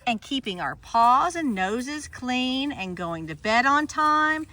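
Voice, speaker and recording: An eager little puppy from a picture book, as a woman reads him aloud. The voice is lively and bright, rising and falling a lot, and pitched a bit high. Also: slow, full character voice. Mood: earnest. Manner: read aloud from a storybook. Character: eager small male puppy.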